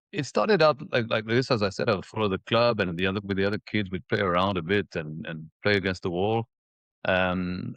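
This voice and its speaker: A man in his forties from Ethiopia and Mauritius reminiscing in a casual interview, haltingly, repeating words as he thinks. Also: low voice, creaky, a bit bright, no room sound. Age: 40s. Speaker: interview guest, former professional tennis player.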